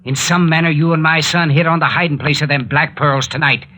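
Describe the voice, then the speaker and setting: An actor playing a gruff, suspicious older small-town mayor with blunt rustic speech in a 1940s radio drama. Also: male voice, fairly high pitch, fairly even intonation, a little fast, bright, forceful tone. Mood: accusing. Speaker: actor in a radio mystery serial.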